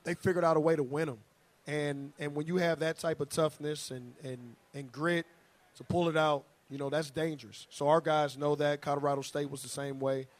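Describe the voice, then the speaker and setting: A man's voice: on the high side, level tone, normal speed. A man coaching basketball, a guest on a sports show, talking in an earnest way and repeating his words.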